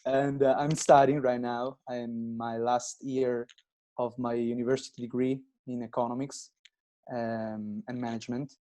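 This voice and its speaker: Young man in halting second-language English. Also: mid-range voice, little pitch movement, mellow. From Italy. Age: 20s. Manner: halting non-native English, frequent pauses and restarts. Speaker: guest. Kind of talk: casual interview chat.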